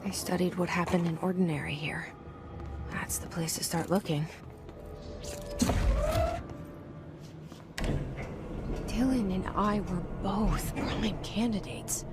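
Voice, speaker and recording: An actress voicing a guarded, searching young woman investigator in a hushed, introspective inner monologue for a video game. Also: on the low side, fairly even intonation, normal speed, natural voice. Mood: brooding. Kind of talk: scripted video game narration. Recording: loud music behind the voice.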